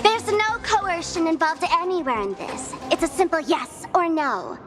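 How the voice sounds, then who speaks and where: A taunting, theatrical villain streamer. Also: female voice, very high voice, big pitch swings, normal speed. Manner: teasing, theatrical, mocking. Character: playful, taunting young female streamer villain. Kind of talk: video game voice acting. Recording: game audio with music underneath.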